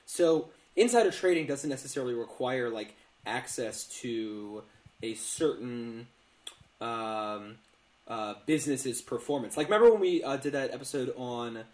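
A man's voice: medium pitch, animated, a little slow, darkish tone. Man explaining in an echoey room. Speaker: podcast co-host. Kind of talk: casual explanatory podcast talk. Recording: roomy, echoey sound.

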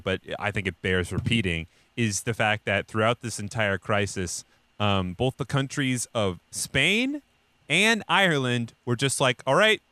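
Male podcaster, lowish but bright and forceful, dry close sound, rambling and colloquial. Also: dry recording. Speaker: podcast host. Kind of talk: casual comedic news chat.

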